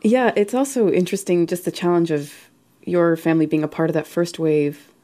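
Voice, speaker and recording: A woman interviewing an author on a radio show, asking her questions quickly and in a measured way. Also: fairly low pitch, steady tone, warm tone.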